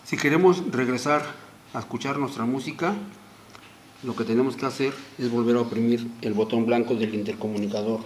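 A middle-aged man narrating a hands-on product tutorial, explaining deliberately step by step in short phrases. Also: mid-range voice, fairly even intonation, slight background noise. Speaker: product demonstrator, host. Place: small indoor room at home.

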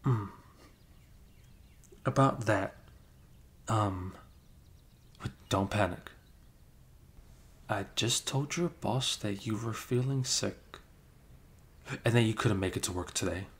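Low gravelly guy whispering close to the mic, soft-spoken best friend asmr vibe. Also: slow, pauses often. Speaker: ASMR roleplay creator. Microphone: close microphone.

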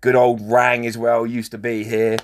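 A man with a hoarse, fading voice, chatting casually as he comments on a mobile strategy game and gives friendly shout-outs. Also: medium pitch, completely flat delivery, moderate pace. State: losing his voice, hoarse. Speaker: gaming channel host.

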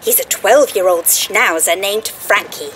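An actress playing Una, a perky tiny fairy AI assistant, bubbly, eager and theatrical, in an audio drama. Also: female voice, mid-range voice, unhurried, a bit bright, a little hiss or hum, natural voice. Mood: briskly helpful.

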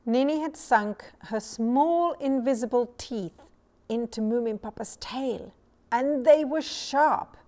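A middle-aged woman reading a children's story aloud as a storyteller, measured and expressive. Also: a little high. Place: small furnished room indoors. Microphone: lapel mic.

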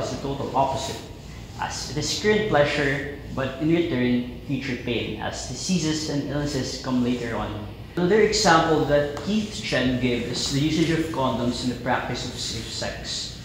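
A man's voice: medium pitch, slight background noise. A young man from the Philippines, a university student, earnestly reading a class presentation in an empty classroom. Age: young adult. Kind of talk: scripted class video presentation. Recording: very echoey classroom.

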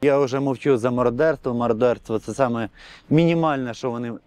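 A man's voice: mid-range voice, fast talker, a little mellow, no echo, soft background music. A young man, a soldier, answering interview questions outdoors in an open field. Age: young adult.

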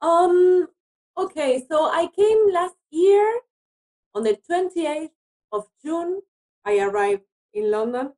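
A woman's voice: extremely high pitch, expressive, slow pace, a little mellow. A woman from Argentina, a native Spanish speaker with an Argentine accent, chatting casually as an interview guest. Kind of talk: casual chat.

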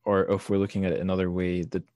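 A man's voice: sits low, completely flat delivery, racing, mellow, no echo, clean studio sound. A man hosting a podcast, casually discussing a book in a reflective, analytical way. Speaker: podcast host.

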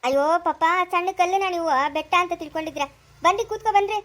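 Woman doing a caring young daughter in a drama, high quick voice, coaxing and soothing. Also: fairly bright tone. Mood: soothing, amused pity. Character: caring, brisk young daughter consoling her father.

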